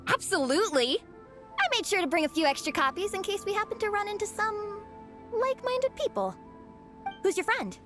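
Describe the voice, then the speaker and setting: A woman voicing an earnest, excitable young female comic fan. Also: very high voice, brisk, exaggerated voice. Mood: friendly. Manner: eager, earnest. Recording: game cutscene with background music.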